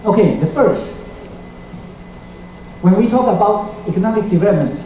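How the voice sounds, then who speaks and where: A middle-aged man from Taiwan, speaking English, gives a seminar lecture in a measured way, with small grammar slips. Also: sits high, expressive, warm tone, muffled recording, slight background noise. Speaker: guest lecturer. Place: lecture hall. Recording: echoey hall, mic heard from a distance.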